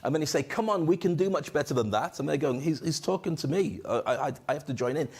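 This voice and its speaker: A man's voice: on the high side, fast, breathy edge. A man hosting a conference stage and coaching on public speaking, joking and rousing the audience with a handheld microphone. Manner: jokey, animated, audience-rousing. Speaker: event host and speaking coach. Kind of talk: energetic stage presenting.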